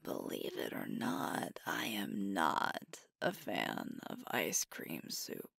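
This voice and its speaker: A woman playing an affectionate, teasing werewolf girlfriend in an ASMR roleplay, speaking softly and intimately close to the microphone. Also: very deep voice, huge pitch swings, no echo, heavily acted. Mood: playful teasing. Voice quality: soft, breathy, whispery. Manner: slow, tender, playful, with pauses.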